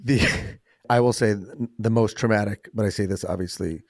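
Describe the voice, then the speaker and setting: A man's voice: on the low side, steady tone, moderate pace, darkish tone, dry recording, studio quality. A man hosting a podcast, chatting casually and making joking asides. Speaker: podcast host. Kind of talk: casual interview chat.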